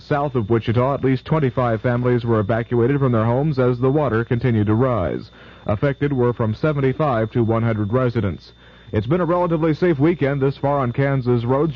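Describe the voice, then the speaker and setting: A man reading local news headlines on the radio in a steady, plain way. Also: mid-range voice. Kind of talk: newscast reading. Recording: muffled 1970s AM radio.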